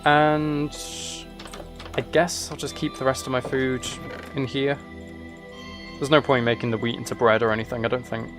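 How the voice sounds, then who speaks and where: A man's voice: medium pitch, little pitch movement, normal speed, over music. A man chats casually with viewers as he plays a game, rambling and musing aloud. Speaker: live streamer. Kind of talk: casual chat with viewers while gaming.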